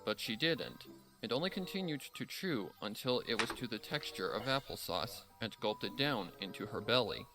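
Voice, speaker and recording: A man's voice: on the low side, animated, moderate pace, low-quality recording. A man reading scripted story narration as the narrator. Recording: music under the voice.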